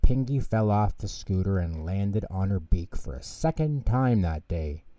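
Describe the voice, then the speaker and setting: Dark, mellow-voiced man reading bedtime stories. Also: sits low, animated, measured pace, no echo. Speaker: storyteller, narrator. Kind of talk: expressive children's bedtime story narration. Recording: dull, lo-fi close recording.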